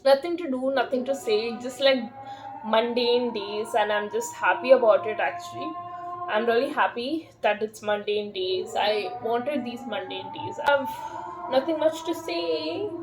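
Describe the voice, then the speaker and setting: A woman in her thirties talks slowly to camera at home. Her voice sits a little high, and she rambles in a relaxed, meandering way, with lots of fillers and soft laughs. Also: over music, rough recording. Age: 30s. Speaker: vlogger. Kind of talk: casual chat to camera.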